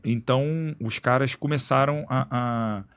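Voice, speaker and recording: Gravelly man explaining in slangy talk. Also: mid-range voice, unhurried. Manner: explains in a relaxed, slangy way with many restarts and "né?" tags. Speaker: guest, executive director of an institute. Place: radio studio.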